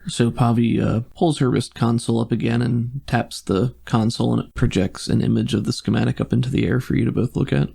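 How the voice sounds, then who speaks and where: Male game master, creaky voice at middle pitch, improvised descriptive scene-setting for a tabletop RPG. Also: fairly even intonation, brisk, hardly any pauses, mellow.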